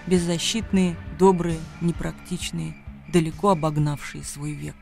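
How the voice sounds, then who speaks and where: A man's voice: a little high, steady tone, unhurried, gravelly, soft background music. A man delivering dramatic scripted narration as the narrator of a radio play.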